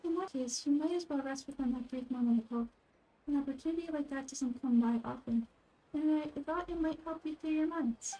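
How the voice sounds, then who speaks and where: A woman voicing a calm, wise young girl deity from a game, musing in a measured way. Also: sits high, natural voice, poor audio.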